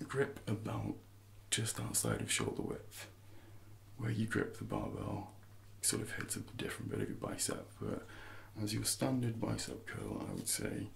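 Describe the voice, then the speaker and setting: A man in his thirties speaking softly and breathily in an ASMR roleplay as a personal trainer, often slipping into whispers while instructing. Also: lowish voice, gravelly, a little hiss or hum. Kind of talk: soft-spoken ASMR roleplay.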